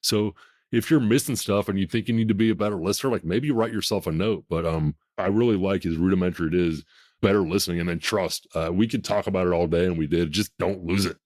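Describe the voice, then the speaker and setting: A man in his fifties with a deep voice, hosting a podcast in a blunt, emphatic, self-assured way. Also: quick pace, darkish tone. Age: 50s. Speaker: podcast host. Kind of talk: casual podcast conversation.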